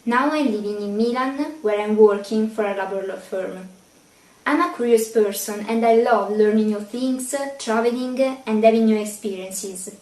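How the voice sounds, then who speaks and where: A woman's voice: medium pitch, steady tone, moderate pace, a little mellow. A woman, a trainee lawyer giving an earnest self-introduction. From Italy. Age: 20s. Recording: echoey room, distant mic.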